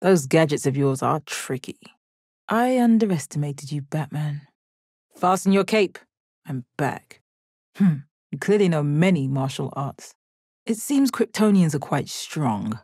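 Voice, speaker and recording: A woman playing a stoic, confident, commanding gem warrior in a video game, with a measured, deadpan delivery. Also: low-pitched, slightly rough, no echo, studio quality, heavily acted. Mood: grudging respect. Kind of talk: video game character battle lines.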